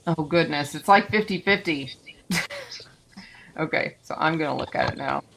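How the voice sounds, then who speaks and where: A woman's voice: low voice, normal speed, creaky, fairly bright tone, a little hiss or hum, low-quality recording. A woman with a Southern US accent co-hosting a livestream, chatting casually and thinking aloud as she crafts, easily amused. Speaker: co-host.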